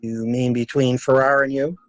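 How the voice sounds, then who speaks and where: A man's voice: mid-range voice, level tone, moderate pace, dry recording, lightly acted. A man playing a cynical, plain-spoken adviser in an amateur mystery play, blunt and wry. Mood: blunt, advising. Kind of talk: amateur murder mystery radio play acting.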